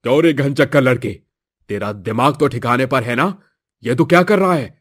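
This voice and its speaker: A man's voice: mid-range voice, normal speed, husky, natural voice. Man acting a domineering older village headman. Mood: angry scolding. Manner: scolding, threatening, haughty. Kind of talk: Hindi moral-story cartoon acting.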